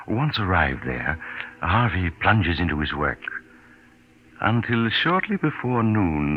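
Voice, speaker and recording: An actor playing a mysterious, genial yet sinister host who narrates a 1940s radio suspense story in a theatrical, deliberate way. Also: male voice, a bass voice, a little hiss or hum, exaggerated voice. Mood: wry storytelling. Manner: theatrical, deliberate, ominously inviting. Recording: vintage radio, muffled, loud music behind.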